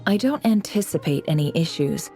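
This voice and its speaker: A woman voicing a composed, shrewd, commanding female strategist in a game, speaking in a measured, formal, self-assured way. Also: low-pitched, lively intonation, mellow, soft background music. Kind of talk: game character voice acting.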